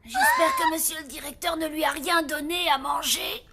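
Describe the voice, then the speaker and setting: A woman's voice: very high-pitched, wildly swooping pitch, moderate pace, heavy background noise, exaggerated voice. A woman voicing an earnest, worried little zombie boy who loves animals, in a cartoon. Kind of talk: animated cartoon dialogue in French dub.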